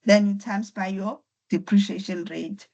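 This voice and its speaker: A woman, her voice roughened by flu, leads an online accounting class. She works through each point patiently, step by step, and repeats key figures. Her pitch sits at an ordinary middle height, recorded through a computer mic on a video call. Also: steady tone, unhurried, mellow, highs cut off. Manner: patient, step-by-step explaining with repetition. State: voice affected by flu. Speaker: accounting tutor. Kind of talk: online lecture.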